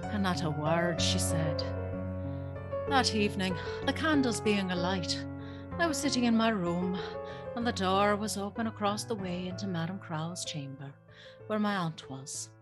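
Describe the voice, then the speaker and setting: A woman's voice: mid-range voice, moderate pace, over music, lightly acted. A woman playing an anxious, spirited young servant girl who tells her tale expressively in a gothic audio drama. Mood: uneasy storytelling. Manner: expressive, breathy, nervous.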